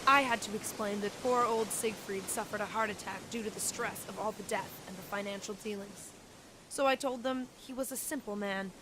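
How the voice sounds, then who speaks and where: A woman playing a yacht captain who narrates an amateur murder mystery audio drama in a dramatic, measured storytelling way. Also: mid-range voice, steady tone, background noise, natural voice.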